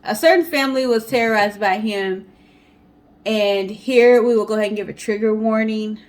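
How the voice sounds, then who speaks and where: A woman's voice: medium pitch, normal speed. Woman co-host telling a story. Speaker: co-host of a storytime guessing-game show. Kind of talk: storytelling from notes with casual banter.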